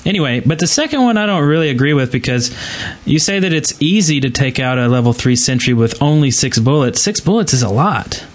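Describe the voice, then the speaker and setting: A man's voice: on the high side, animated, a little fast, hardly any pauses. A man hosting a gaming podcast in a casual, conversational style. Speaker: podcast host.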